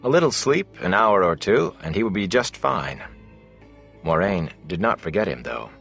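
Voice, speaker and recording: A man reads a fantasy novel in a low-pitched voice. His storytelling is measured and expressive, as an audiobook narrator would deliver it. Faint music plays behind the narration. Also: gravelly, slightly rough.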